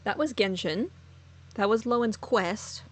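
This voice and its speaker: A woman's voice: mid-range voice, lively intonation, unhurried. A woman streaming a let's play, commenting casually on the game.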